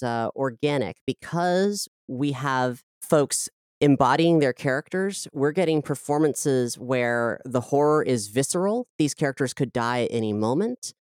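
A woman's voice: extremely low pitch, darkish tone, clean tone, dry recording, clean studio sound. A woman giving a thoughtful interview as a guest, explaining creative work in a reflective, articulate way.

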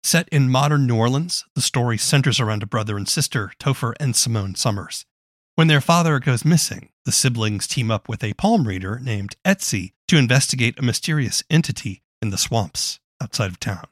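A man's voice: mid-range voice, expressive, moderate pace, no room sound. A man hosting a podcast, reading scripted narration into a close mic. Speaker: podcast host.

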